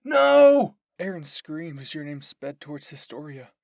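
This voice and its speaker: A narrator reads a dramatic scripted story. The pitch sits in the middle. The sound is dry and close, with hardly any room to it. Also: fairly even intonation, normal speed, dry recording. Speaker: narrator and voice of all characters.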